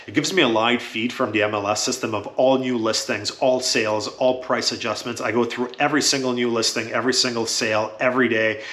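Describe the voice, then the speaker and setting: A man whose first language is Croatian, with a bright, forceful voice and a medium pitch. He talks to camera like a real estate agent, steady and matter-of-fact, with lots of "uh" pauses. The room around him is roomy and echoey. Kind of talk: casual talk to camera.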